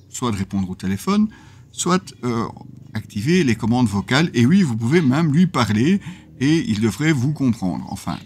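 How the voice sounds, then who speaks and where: A man speaks as the host of a product review video. His voice sits at an average pitch. He explains features in a semi-scripted way, with small hesitant pauses inside his sentences. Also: a little mellow.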